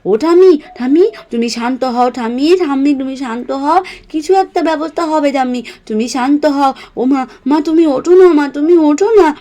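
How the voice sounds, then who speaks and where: A woman voicing an excitable, bossy older sister girl doll in a cartoon story, exclaiming and repeating herself. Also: high voice, natural voice. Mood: urgent. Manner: exclaiming, hurried repetition.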